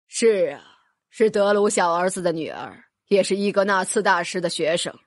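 A woman voicing a crafty old male headmaster who speaks slowly in a lowered voice. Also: no room sound, clean studio sound. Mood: calm, sly.

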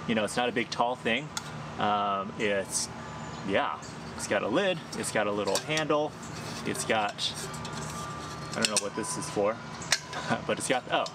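A man in his thirties casually talking through his hiking gear in a sunny backyard, thinking aloud with fillers and small laughs. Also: fairly low pitch. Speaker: hiking vlogger. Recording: outdoor noise behind the voice.

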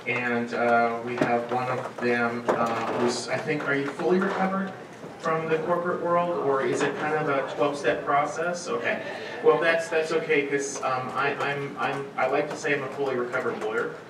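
A middle-aged man informally introducing a speaker at a conference, in a halting, wry way. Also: mid-range voice, level tone. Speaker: event organizer introducing a speaker. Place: auditorium stage at a podium. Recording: echoey hall, distant, noisy room sound.

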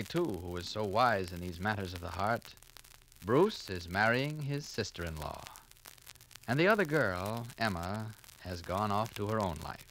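A man announcing on a 1940s radio show, with a brisk, jovial sales patter. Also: fairly low pitch, a bit bright, a little hiss or hum, rough recording.

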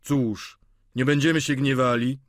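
A man voicing a sly, jovial man who jokes loudly and mockingly, in a storybook reading. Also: mid-range voice, little pitch movement, unhurried, dry recording, studio quality, voice pushed up, natural voice.

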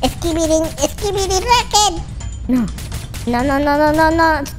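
A performer voicing an excitable, boastful but kind baby boy game character with a squeaky childlike voice, exclaiming and repeating words as gameplay roleplay commentary. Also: takes it slowly, exaggerated voice. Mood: alarmed, excited. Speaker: gaming channel host. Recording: music behind the voice. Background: children's music.

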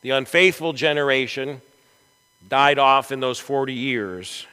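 Man, pastor, bright forceful voice, dramatic storytelling sermon, slow deliberate pace. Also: mid-range voice, smooth. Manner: dramatic, deliberate delivery with emphatic swells. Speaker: pastor preaching. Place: large church sanctuary, from the chancel. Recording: echoey church hall with long reverb.

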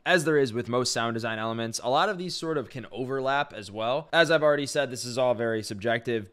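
A man's voice: mid-range voice, fairly bright tone. A young man with a stuffy, nasal voice, hosting his channel and explaining video editing in a chatty way that leans on filler phrases. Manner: chatty, leans on "sort of" and "you know". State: stuffy, congested nose. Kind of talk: casual tutorial explanation.

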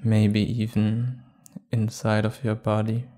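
A man guiding a meditation calmly and without hurry, leaving long pauses between short phrases. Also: lowish voice. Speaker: meditation guide. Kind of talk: guided meditation.

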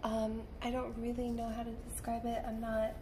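Soft-spoken young woman, noisy ASMR recording. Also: a little high, completely flat delivery. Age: young adult. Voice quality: soft, hushed, sometimes slipping into whisper. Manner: unhurried, informal, thinking aloud while drawing. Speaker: illustrator and paleontology student demonstrating drawing. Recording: clear background noise, pencil scratching on paper. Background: pencil and paper sounds, room noise.

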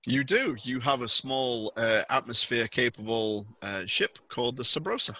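A man's voice: mid-range voice, expressive, normal speed, pushed and bright, no room sound. A man acting as game master, explaining a tabletop game scenario to players in a conversational way.

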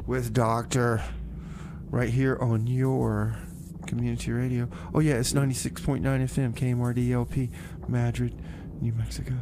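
A man's voice: medium pitch, little pitch movement, normal speed. A man hosting community radio with comedy banter, speaking in a hesitant, stammering way. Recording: clear background noise.

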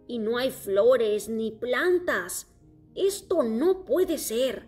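A woman voicing a wise, worried king from another planet in a children's story, speaking slowly and earnestly. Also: a little high, a little mellow, breathy edge, faint music behind, voice pushed up, heavily acted. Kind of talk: storybook character voice.

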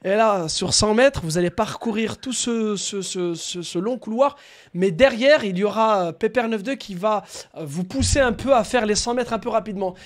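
A young man doing a casual live stream of crude prank calls, animated, slangy and prone to swearing. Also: sits high, normal speed. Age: young adult. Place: small room at home.

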